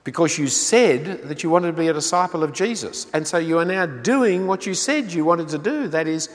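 An older man preaches in a voice pitched a little high, earnest and pressing. He keeps asking his listeners rhetorical questions. His words ring out with the long reverb of a large hall. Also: expressive, normal speed. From Australia. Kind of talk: sermon.

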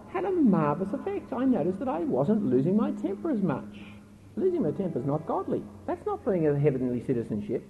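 A middle-aged man preaching a sermon in an earnest, emphatic way with a naturally loud voice, asking his listeners pointed questions. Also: high voice. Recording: tinny phone-like recording with faint noise.

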